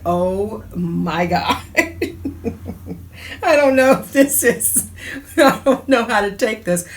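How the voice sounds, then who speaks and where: A middle-aged woman from the United States talks as a radio host. She rambles and jokes with plenty of laughter, and her voice sits at an ordinary pitch for a woman. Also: slight background noise. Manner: chatty, laughs often, animated asides. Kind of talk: casual solo talk show.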